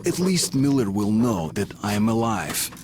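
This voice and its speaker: An actor playing a young soldier hero, narrating events in the first person in a subdued, reflective way for a video game. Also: male voice, fairly low pitch, expressive, normal speed, background noise, natural voice. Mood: pensive, grim. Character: pensive young male soldier, the game's hero, recounting events.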